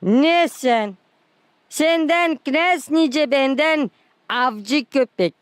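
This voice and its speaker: A performer voicing a playful, teasing, food-loving cartoon animal sidekick with drawn-out, exaggerated comic delivery. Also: high voice, lively intonation, dry recording, full character voice. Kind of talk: cartoon dialogue.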